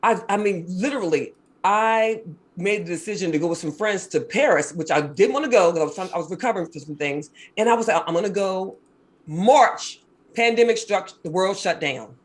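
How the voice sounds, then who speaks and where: Woman guest, 50s, from Maryland: deep husky voice, quick animated storytelling, casual interview tone. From Maryland, USA. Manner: fast-talking, animated storyteller.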